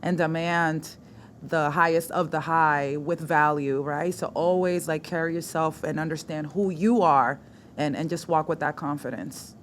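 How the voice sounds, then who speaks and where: Lower-pitched woman, animated podcast guest. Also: a little slow, slight background noise. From Dominican Republic. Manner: animated, laughs often, mixes in Spanish words. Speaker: guest, sports host and movement coach. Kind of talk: casual podcast interview.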